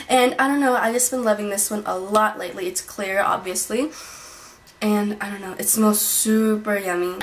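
A woman's voice: fairly high pitch, a bit bright. A young woman talking casually in a beauty vlog, chatty and rambling, with lots of fillers and restarts, recorded on a camera mic in a small bedroom. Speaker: beauty vlogger. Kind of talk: casual monthly favorites vlog. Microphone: built-in camera mic at desk distance.

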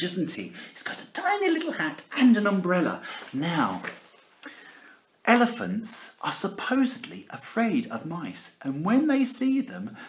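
A man in his forties reading a picture book aloud to children in a theatrical, playful way, speaking to them directly in a living room. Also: high voice. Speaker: primary school teacher reading aloud. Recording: roomy home camera recording.

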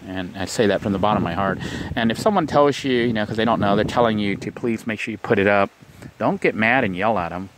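Low-voiced man rambling with earnest advice. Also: fast talker, noisy background. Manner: rambling, earnest, repeats himself. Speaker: vlogger. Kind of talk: casual advice vlog. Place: outdoors on a vacant lot.

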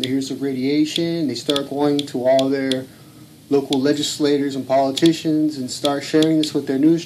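A man's voice: on the high side, noisy background. A man filming at home, talking in a blunt, earnest way and swearing now and then. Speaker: home video blogger. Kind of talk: casual filmed commentary. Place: home room.

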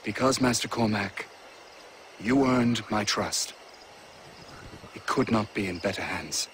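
A courteous army colonel. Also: male voice, lowish voice, slow pace, fairly bright tone, slight background noise. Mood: formal. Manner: formal, clipped, authoritative.

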